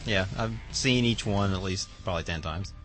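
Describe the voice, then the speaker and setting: A man's voice: sits low, moderate pace, fairly bright tone, faint music behind. A man chatting casually as a guest, with dry humour. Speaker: show guest. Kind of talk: casual chat.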